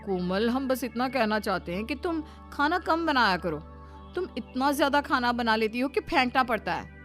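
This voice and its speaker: A woman's voice: fairly high pitch, expressive, normal speed, fairly bright tone, soft background music. A woman playing scolding, sarcastic women of a squabbling household in a cartoon family story. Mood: chiding. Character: bickering, sarcastic daughters-in-law and scolding mother-in-law.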